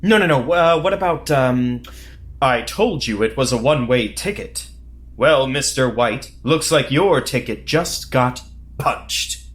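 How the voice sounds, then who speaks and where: A man playing a cocky, foul-mouthed covert government agent in a horror audio drama, wisecracking and excitable. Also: mid-range voice, unhurried. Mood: smug rehearsing.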